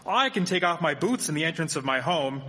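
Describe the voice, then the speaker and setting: Radio drama: male actor as polite Victorian village gentleman, high forceful voice, formal diction, theatrical, echoey hall. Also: lively intonation, a little fast. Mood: earnest. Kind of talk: live radio drama acting. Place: performing arts theatre stage. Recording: echoey hall, live stage performance.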